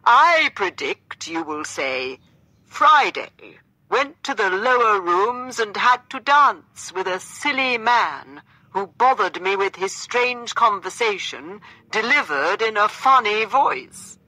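A woman voicing a playful young gentleman who speaks with exaggerated, dramatic politeness in a storybook reading. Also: medium pitch, lively intonation, takes it slowly, dry recording, pitched above the performer's own voice, heavily acted, poor audio. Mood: mock indignation. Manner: dramatic, over-polite, stretched-out delivery.

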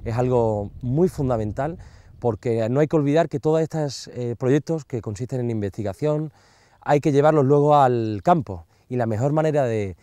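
Male delegate, thirties, Spain accent, mellow and dry-recorded, interview answers. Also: mid-range voice, normal speed, no room sound. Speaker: farming association delegate. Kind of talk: on-location interview. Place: outdoors in open farmland. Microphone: lapel.

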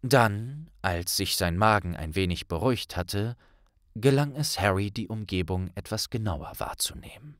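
A man's voice: fairly low pitch, lively intonation, normal speed, fairly bright tone, no echo, studio quality. A man narrating a fantasy audiobook in a scripted, dramatic storytelling style.

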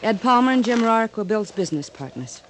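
Actress as coy, flirtatious socialite. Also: female voice, on the high side, animated, brisk, slight background noise, lightly acted. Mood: pleading, firm. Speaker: actress in a radio detective drama. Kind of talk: 1950s radio drama acting. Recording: old radio broadcast recording.

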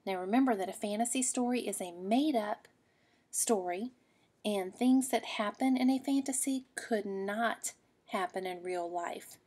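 A woman's voice: medium pitch, measured pace, warm tone, breathy edge. A woman teacher reading a picture book aloud to young children, explaining patiently and checking in with questions.

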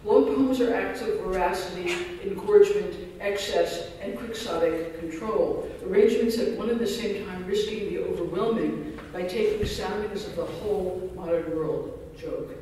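A man's voice: sits high, normal speed, hardly any pauses, dark tone, slight background noise. A man giving an academic keynote, reading a scholarly paper with dense phrasing and dry self-deprecating asides. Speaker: keynote speaker. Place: large lecture hall. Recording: echoey hall recorded from far off.

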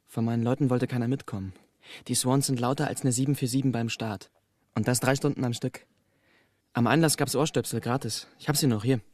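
Schauspieler als bitterer, trotziger junger Mann. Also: male voice, mid-range voice, a little mellow, dry recording, clean studio sound, close to an everyday voice. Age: 20s. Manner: sarcastic, defiant. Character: bitter, sullen young man, estranged son being questioned. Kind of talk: naturalistic German radio drama acting.

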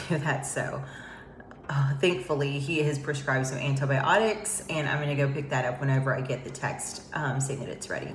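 A woman's voice: rumbling low, slight background noise. A woman vlogging casually in a chatty, rambling way with lots of fillers, sounding congested from a head cold, speaking from an emptied home office. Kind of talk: casual chat to camera. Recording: echoey empty room.

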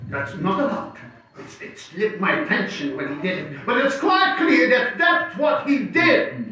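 A man's voice: high-pitched, animated, unhurried, sharp tone, heavily acted. A man playing a fawning, scoffing king who takes sides in a live dramatic reading. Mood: evasive, agreeing. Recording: echoing hall, distant mic, audience noise.

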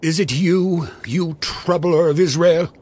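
A man's voice: fairly high pitch, steady tone, slow pace, darkish tone. An actor playing an imperious king of ancient Israel in a dramatized audio Bible, commanding and declamatory. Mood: accusing. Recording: music under the voice.

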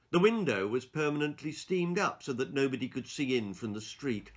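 Male storyteller, 60s, dramatic reader of children's tales, bright, keen-edged voice with very varied intonation. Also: mid-range voice. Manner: expressive, theatrical, emphatic. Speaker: author reading his own children's stories. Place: small book-lined room indoors.

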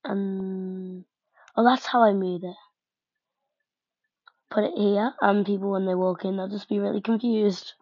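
Female gaming vlogger, slow and gravelly, hesitant think-aloud delivery, lo-fi close mic. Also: medium pitch, steady tone, dry recording. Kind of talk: casual game commentary. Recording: dull lo-fi home mic.

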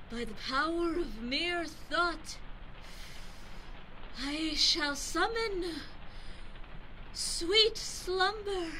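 A woman voicing a boastful, pompous boy adventurer in an old-fashioned radio drama, with archaic, grandiloquent speech that swings from loud to hushed. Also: extremely high pitch, exaggerated voice. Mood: drowsy. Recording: steady background noise.

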